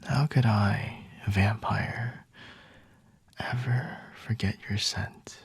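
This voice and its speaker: A man playing a flirty, aloof, high-ranking vampire in an ASMR roleplay, speaking in a breathy, hushed whisper close to the mic with haughty, seductive pauses. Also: steady tone, no room sound, exaggerated voice. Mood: intimate, flirty. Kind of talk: ASMR roleplay, scripted monologue to the listener. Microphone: close-up microphone.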